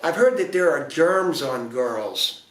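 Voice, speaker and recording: A blustery young storybook prince, clueless and excitable, his voice pitched high and swooping as he blurts out his ideas, played by a man reading aloud. The sound is roomy and heard from some distance from the microphone. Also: slow. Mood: squeamish. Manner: loud, excitable exclamations. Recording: roomy echo, distant mic.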